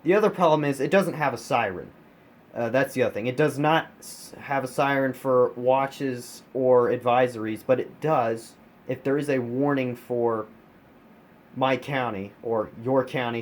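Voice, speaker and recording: A man from the United States giving a casual, emphatic hobbyist product review, repeating words for stress. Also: medium pitch. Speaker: collector reviewing his weather radios. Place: carpeted room at home. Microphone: handheld phone camera, speaker off screen. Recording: noisy home phone-camera recording.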